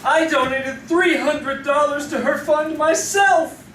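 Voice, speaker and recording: A man playing a courteous, wealthy gentleman in live old-time radio drama, halting and repeating himself. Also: extremely high pitch, full character voice. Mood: disbelieving. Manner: halting, repeating words when upset. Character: courteous, wealthy married gentleman, shaken by bad news. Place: theater stage, live performance. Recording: roomy, distant stage recording with hiss.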